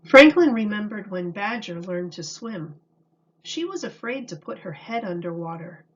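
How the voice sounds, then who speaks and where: A woman reading a children's story aloud like a teacher, in a deliberate, expressive way. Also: medium pitch, lo-fi sound.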